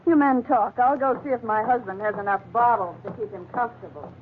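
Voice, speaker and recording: A woman's voice: on the high side, brisk, phone-quality sound, natural voice. A woman playing a wry, discontented island wife in a 1940s radio drama, with a dry, pointed manner. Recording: thin old 1940s radio, faint noise.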